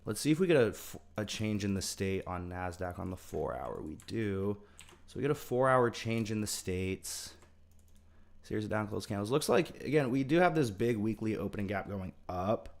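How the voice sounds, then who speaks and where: A man's voice: on the low side, animated, normal speed, vocal fry, a little mellow. A young man casually chatting on a livestream. He greets viewers by name and thinks aloud with plenty of fillers. His speech sounds a bit off from the teeth whitening strips he has in. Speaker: trading livestreamer.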